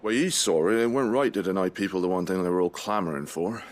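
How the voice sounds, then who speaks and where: A male actor voicing a gruff mercenary guard in a fantasy game. He has a low-pitched British voice and talks freely in blunt, grumbling, colloquial speech. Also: fast, close to an everyday voice. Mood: grudgingly helpful. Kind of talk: video game voice acting.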